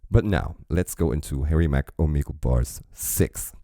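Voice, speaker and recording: A man's voice: rumbling low, moderate pace, dark tone, dry recording. A man hosting a reaction channel, rambling casually with chatty asides while sipping a drink.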